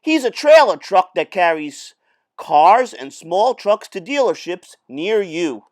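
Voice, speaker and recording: A man's voice: sits high, big pitch swings, takes it slowly, voice pushed up, full character voice. A man voicing a friendly, boastful big truck in a folksy storytelling manner for a children's storybook read-aloud. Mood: cheerful, boastful.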